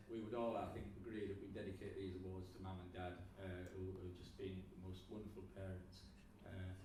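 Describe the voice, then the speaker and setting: A middle-aged man gives an informal thank-you speech with halting, hesitant phrasing. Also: lowish voice, darkish tone. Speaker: award recipient giving thanks. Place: large indoor festival venue, live audience. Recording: echoey hall, distant mic, audience noise.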